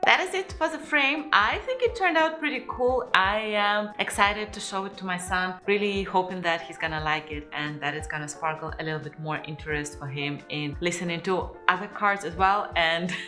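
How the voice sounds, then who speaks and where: A woman's voice: lowish voice, moderate pace, few pauses, sharp tone. Chatty woman vlogger with background music. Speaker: parenting vlogger reviewing a kids' gadget. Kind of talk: casual product review to camera.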